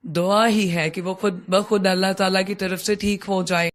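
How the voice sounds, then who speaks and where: A woman's voice: mid-range voice, fairly even intonation, moderate pace, natural voice. A woman playing an evasive, hospitable, chatty auntie in a cartoon story, coaxing and motherly. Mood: resigned.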